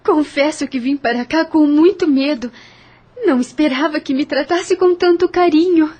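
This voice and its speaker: A woman's voice: sits high, normal speed, a little mellow, lightly acted. A woman playing an earnest, resolute young heiress in an audio novel, speaking formally with a Brazilian accent. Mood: grateful, polite.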